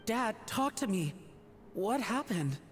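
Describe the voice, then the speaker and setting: Polite, high-voiced young son. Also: male voice, sing-song, slow pace, soft background music, exaggerated voice. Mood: worried. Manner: hesitant, deferential. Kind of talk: video game voice acting.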